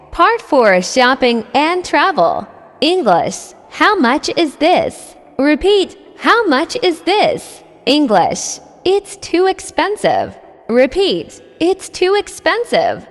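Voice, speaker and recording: A high-voiced woman leads a scripted beginner drill, slow and deliberate, saying each phrase twice. Her voice swoops widely up and down. A long reverb trails her words over faint music. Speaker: English teacher.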